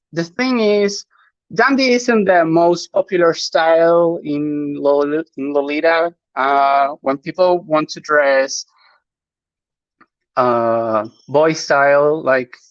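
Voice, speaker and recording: A native Spanish speaker from Chile, with a clear Chilean accent, talks slowly through a live online panel. The delivery is hesitant, full of fillers, restarts and small nervous laughs. The sound is dry and dull, like lo-fi stream audio. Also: mid-range voice, no room sound.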